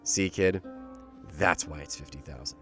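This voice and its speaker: A man playing a guarded starship skipper and bounty hunter in a fan audio drama, speaking low and dry. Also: measured pace, creaky, a bit bright, faint music behind, heavily acted. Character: gruff male starship skipper, world-weary.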